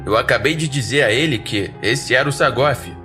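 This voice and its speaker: A man with a Brazilian accent narrating a first-person horror story in a dramatic, wry and expressive way. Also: medium pitch, brisk, soft background music.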